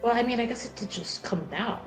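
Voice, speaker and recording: A woman's voice: a little high, fairly quick, soft background music. A woman playing a tabletop roleplaying game, talking in casual, improvised table conversation.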